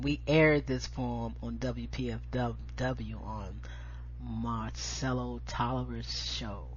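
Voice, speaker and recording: An American woman with a husky voice, hosting and reading spoken-word poetry with an emphatic, deliberate delivery. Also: rumbling low, a bit bright, slight background noise. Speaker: show host and poetry reader. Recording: dull lo-fi audio.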